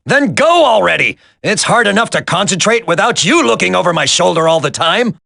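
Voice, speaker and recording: An actor playing a stressed, brainy computer geek on a military team in a video game. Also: male voice, sits high, expressive, fairly quick, gravelly, pushed and bright, no echo, studio quality, exaggerated voice. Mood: stressed, irritable. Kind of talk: dramatic video game voice acting.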